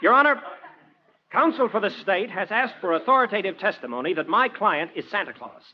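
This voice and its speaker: Pushy earnest lawyer, old 1940s radio play, dull noisy sound. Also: male voice, sits high, lively intonation, brisk. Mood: urgent, triumphant. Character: earnest, insistent male defense lawyer.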